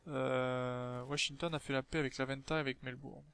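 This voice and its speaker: A man's voice: mid-range voice, little pitch movement, normal speed, fairly bright tone, clean tone, dry recording. A man with a southern French accent, hosting a casual multiplayer game commentary with frequent hesitations. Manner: hesitant, many filler sounds. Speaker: let's play host.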